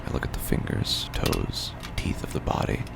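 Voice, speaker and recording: An actor playing a broken, haunted man who narrates horror audio drama slowly and grimly, his gravelly voice often sinking into a whisper. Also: lightly acted. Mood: bleak, resigned. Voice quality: very deep gravelly fry, often breaking into whisper. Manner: slow, grim, deliberate delivery.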